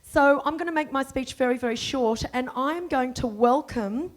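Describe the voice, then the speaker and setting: High-voiced woman hosting a book launch. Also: normal speed. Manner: gushing, warm praise with little laughs. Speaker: event host. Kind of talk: introductory speech.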